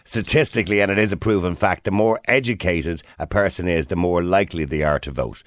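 A man's voice: low-pitched, fairly quick, no room sound, studio quality. A man with an Irish accent hosting a radio phone-in, chatty and probing, pushing back on callers and correcting himself as he goes. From Ireland. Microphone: studio microphone.

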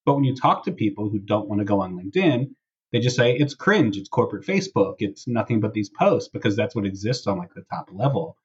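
A man's voice: on the low side, expressive, a little fast, husky, lo-fi sound. A man hosting a casual podcast discussion, talking through and reading out posts. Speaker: podcast host.

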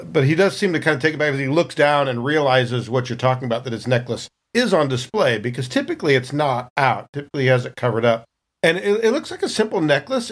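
A man tells the scene of a tabletop role-playing game. His voice sits at an average male pitch, and the delivery is casual and improvised, like storytelling among players.

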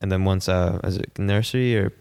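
Low-voiced man in a reverberant space. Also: fairly even intonation, brisk, vocal fry, a little mellow, clear, resonant tone. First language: Italian. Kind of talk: casual chat. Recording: long hall-like reverb.

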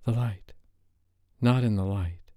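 A male narrator plays a shy young woman in a romance audiobook. The voice is lifted well up in pitch, hesitant and pleading, full of anxious pauses. The sound is close and dry. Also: warm tone, dry recording. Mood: nervous, pleading. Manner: halting, hesitant. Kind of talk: romance audiobook character dialogue.

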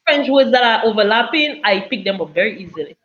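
A woman's voice: on the high side, lively intonation, fast, fairly bright tone. Woman telling stories, slightly muffled audio. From Cameroon. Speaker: guest. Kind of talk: casual chat, telling personal anecdotes. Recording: dull, lo-fi audio.

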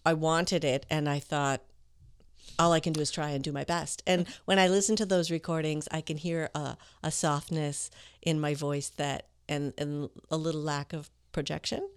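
Smooth, clear woman, closely recorded. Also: sits low, fairly even intonation, normal speed, no echo. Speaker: voice-over artist and interview guest. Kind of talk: conversational interview storytelling.

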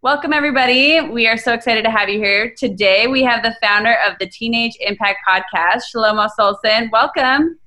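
A woman's voice: on the high side, normal speed, few pauses, pushed and bright, soft background music. A young woman co-hosting a podcast, upbeat and enthusiastic as she interviews a guest. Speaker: podcast co-host. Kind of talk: scripted intro and interview.

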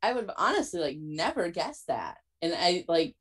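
A woman's voice: fairly low pitch, sing-song, moderate pace, breathy edge. A woman hosting a podcast in casual chat, enthusiastic and full of "like". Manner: enthusiastic, peppers talk with "like". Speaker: podcast host.

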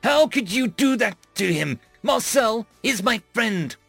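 Distraught little alien creature, male, acted by a man: high, swooping pitch, fiercely loyal, audiobook drama. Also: lively intonation, moderate pace, gravelly, fairly bright tone, breathy edge, no echo, faint music behind, exaggerated voice. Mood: indignant, pleading. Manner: pleading, indignant outbursts.

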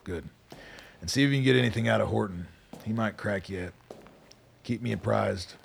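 An actor playing a weary middle-aged colonel in a radio drama, measured and commanding. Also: male voice, on the low side, full character voice. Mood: brisk, commanding. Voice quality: gravelly, husky. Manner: measured, authoritative.

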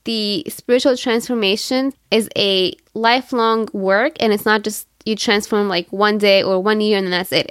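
A woman's voice: medium pitch, no echo, studio quality. A woman in her thirties from Syria, hosting a podcast and telling her own story in an earnest, reflective way, with many fillers. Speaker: podcast host. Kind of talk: personal storytelling monologue.

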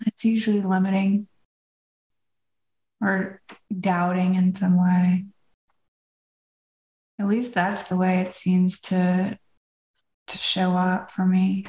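A woman's voice: fairly low pitch, little pitch movement, slow pace, pauses often, dark tone, reverberant, rough recording. A woman co-hosting a podcast, talking unhurriedly and laughing easily, trailing off as she thinks aloud. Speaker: podcast co-host. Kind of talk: casual podcast chat.

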